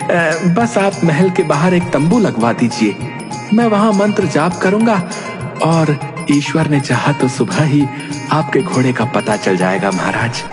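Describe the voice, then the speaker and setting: A man's voice: a little high, expressive, measured pace. A man playing a timid, scheming fake seer in a cartoon, with a hesitant, humble manner. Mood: obsequious, nervous. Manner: obsequious, hesitant, self-pitying. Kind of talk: Hindi cartoon voice acting. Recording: long hall reverb, loud music behind.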